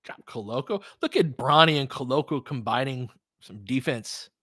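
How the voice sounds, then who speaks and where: A man's voice: mid-range voice, moderate pace, bright, forceful tone, no echo, studio quality. A man doing casual live basketball commentary and chatting with viewers, informal and slangy with some swearing. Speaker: host of a fan watch-along.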